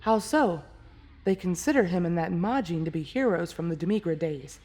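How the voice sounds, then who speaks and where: Woman playing a serious, low-voiced warrior agent. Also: lively intonation, normal speed, a little mellow. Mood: questioning. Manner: formal, questioning, guarded. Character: serious, skeptical, proud female warrior agent. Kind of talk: scripted anime fan roleplay drama.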